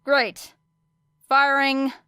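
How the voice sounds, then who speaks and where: A woman's voice: sits high, little pitch movement, very slow, sharp tone, no room sound, voice pushed up, exaggerated voice. A woman voicing a wry, nonchalant young female fighter in an audiobook story, casual and dry. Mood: pleased.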